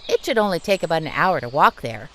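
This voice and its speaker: A woman's voice: sits low, sing-song, fast, a bit bright, rough recording. A woman voicing a sensible, slightly exasperated female forest animal with a matter-of-fact manner in a children's audio drama.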